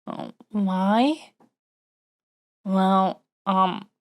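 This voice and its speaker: A woman's voice: medium pitch, very slow. A woman playing a young royal princess in an audio roleplay, speaking in a formal, stately, politely pleading manner. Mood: flustered. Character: young royal princess, haughty yet smitten, kidnapped by dragon. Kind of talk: scripted audio roleplay acting.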